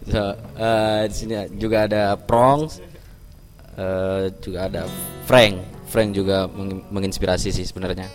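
A man's voice: low-pitched, fairly even intonation, unhurried. A man, a band musician, giving casual answers in an interview. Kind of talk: casual chat. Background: faint music.

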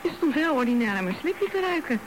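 A woman's voice: high-pitched, sing-song, quick pace, low-quality recording. An actress playing a candid, blunt wife in her thirties in a radio drama. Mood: indignant, challenging. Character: younger wife of thirty-five, candid and indignant. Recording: background noise on radio drama recording.

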